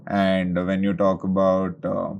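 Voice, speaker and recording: A man's voice: sits low, monotone, measured pace, vocal fry, smooth, lo-fi sound. A man in his thirties from Bengaluru with an Indian English accent, chatting as a comedian guest and slipping into Hindi phrases. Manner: chatty, drops into Hindi phrases, self-deprecating. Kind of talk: casual interview chat.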